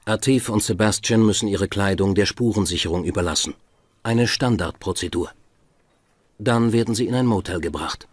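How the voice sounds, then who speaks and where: A man's voice: lowish voice, normal speed, husky, no room sound. A man reading scripted documentary narration as the narrator of a true crime podcast. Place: voice-over with music behind.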